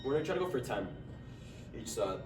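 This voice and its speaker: A man's voice: medium pitch, big pitch swings, quick pace, over music. Stuffy young male fitness vlogger, casual delivery, recorded at a distance in a roomy gym. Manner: trips over words, strains between sets. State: stuffy nose. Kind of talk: casual workout narration. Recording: echoey gym from far off.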